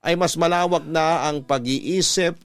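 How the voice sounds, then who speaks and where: A man's voice: a little high, unhurried, clear, resonant tone. A man narrating as an earnest letter writer recounting his love life, in scripted, sentimental radio storytelling style. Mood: sentimental, reflective.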